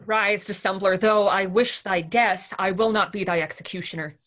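A woman voicing a sharp-tongued young male Elizabethan player, with archaic stage speech and quick retorts. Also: medium pitch, steady tone, close to an everyday voice. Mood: defiant. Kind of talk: audio drama acting.

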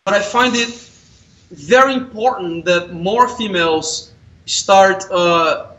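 A man, a painter, asking questions and reflecting on art over a video call, hesitant with many "uh" pauses. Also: high-pitched, fairly bright tone, reverberant, lo-fi sound. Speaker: painter and interviewer. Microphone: video call webcam.

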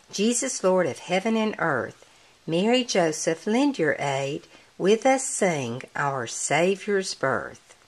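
A woman reading a children's picture book aloud in a deliberate manner, as if counting along with young listeners. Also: fairly low pitch.

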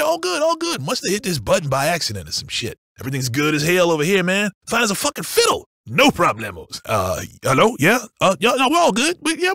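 A man's voice: high voice, dry recording, studio quality, heavily acted. A man voicing an aggressive, foul-mouthed, streetwise bank robber in a video game. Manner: forceful, profane, streetwise slang. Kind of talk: video game combat barks.

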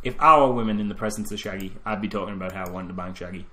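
A man's voice: sits low, racing, bright, forceful tone. A man co-hosting a casual film review podcast, trading jokes and laughing at his own bits. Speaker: podcast co-host.

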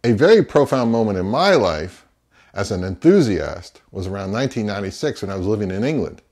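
Middle-aged man, very lively, swinging intonation. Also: lowish voice, brisk. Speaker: audio review channel host. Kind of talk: opinionated talk to camera. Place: small home office, indoors.

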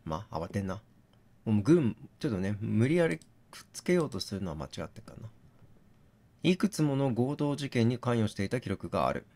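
A man doing gaming commentary, thinking out loud and reasoning step by step, mixed with reading text aloud. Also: fairly low pitch, big pitch swings, a little fast. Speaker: game streamer reading on-screen text aloud.

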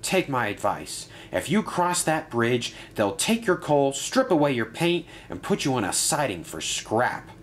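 A man's voice: mid-range voice, big pitch swings, a little slow, hardly any pauses, voice pushed up. A man voicing a nervous male runaway diesel engine that stammers and pleads. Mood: pleading.

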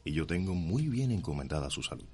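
An actor playing a self-assured, wry doctor in a period radio drama, speaking formally and jovially. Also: male voice, low-pitched, sing-song, fairly quick, over loud music. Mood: wry, courteous.

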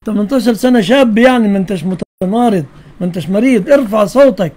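A man's voice: high voice, quick pace, a little mellow. A man with an Egyptian accent hosting a live call-in religious question session, answering callers bluntly and questioning them back.

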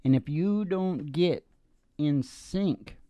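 A middle-aged man chatting casually as a show host, rambling in a folksy, halting, philosophising way into a stage microphone. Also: fairly high pitch, mellow, dry recording, studio quality. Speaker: host and singer of a father-daughter music podcast. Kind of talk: casual rambling banter between songs. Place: small dim curtained stage, indoors. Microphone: stage microphone at the mouth. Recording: dull lo-fi live recording with guitar. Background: acoustic guitar music.

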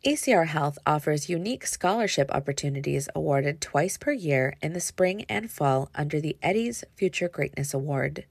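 A woman's voice: low voice, lively intonation, normal speed, gravelly, hardly any pauses, husky, no echo. A woman, a youth development specialist, reading a scripted presentation over slides on a webcam.

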